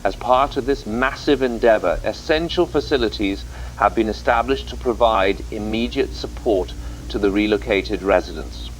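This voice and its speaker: A man's voice: fairly low pitch, normal speed, a little hiss or hum, low-quality recording. A man presenting a scripted TV news report as anchor, in a grave, formal manner.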